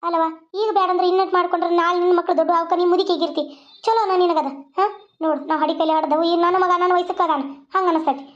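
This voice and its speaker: A woman's voice: very high-pitched, fairly even intonation, very fast, lo-fi sound. A woman voicing a blunt, boastful old grandmother who lectures in a rustic way with a North Karnataka accent.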